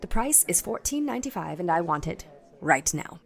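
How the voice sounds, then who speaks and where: A woman's voice: on the low side, sing-song, moderate pace, creaky, breathy edge, exaggerated voice. An actress playing a stern, fussy department store saleswoman, indignant and scolding, in 1940s radio comedy style. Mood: stern impatience. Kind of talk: 1940s radio sitcom acting.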